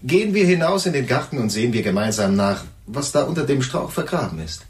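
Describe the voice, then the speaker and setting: An actor playing a pointed, accusing police sergeant in a German crime radio play, probing, direct and formal. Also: male voice, medium pitch, big pitch swings, a little fast, lightly acted.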